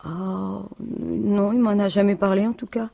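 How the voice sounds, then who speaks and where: An actress playing a grieving, plain-spoken widow in a French radio detective drama, speaking haltingly. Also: female voice, mid-range voice, gravelly, warm tone. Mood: wary, subdued. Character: grieving, indignant widow of a murdered businessman.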